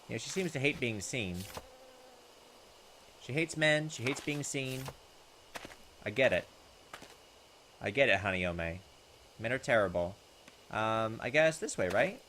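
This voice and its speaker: A man hosting a gaming video, reading visual novel text aloud and adding jokey, expressive, sometimes profane commentary. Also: medium pitch, slight background noise, rough recording. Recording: loud game music behind the voice. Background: game soundtrack.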